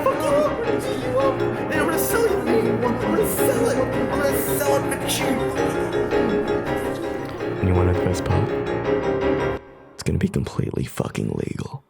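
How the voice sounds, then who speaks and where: Brief: male actor as vengeful madman, theatrical menace with wide pitch swings, sweary and whispery, music clearly behind. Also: full character voice. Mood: manic rage. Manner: dramatic, swearing, dropping into whispers. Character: vengeful man menacingly threatening a whale, unhinged and theatrical. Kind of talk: comedic dramatic monologue.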